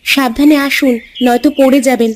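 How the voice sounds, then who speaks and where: A woman's voice: high voice, steady tone, brisk, lightly acted. A woman voicing a patient, attentive village housewife and daughter-in-law in a cartoon. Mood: caring. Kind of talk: dubbed cartoon dialogue. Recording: music under the voice.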